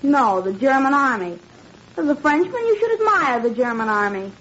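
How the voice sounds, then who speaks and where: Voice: high voice, big pitch swings, moderate pace, fairly bright tone. Defiant young boy, old radio. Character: eleven-year-old German boy, indoctrinated Nazi youth, defiant and guarded. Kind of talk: 1940s radio drama acting. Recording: muffled, noisy old radio recording.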